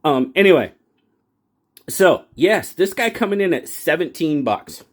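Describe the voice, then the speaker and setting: A man's voice: fairly high pitch, very animated, a little slow. A man hosting his own channel and reviewing a pocket knife in a casual, folksy way, rambling and restarting his sentences often. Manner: rambling, folksy, self-correcting with frequent restarts. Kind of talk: casual product review talk.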